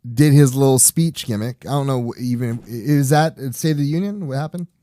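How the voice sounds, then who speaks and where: A man in a casual group chat who talks haltingly and restarts his sentences. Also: medium pitch, mellow. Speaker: podcast co-host.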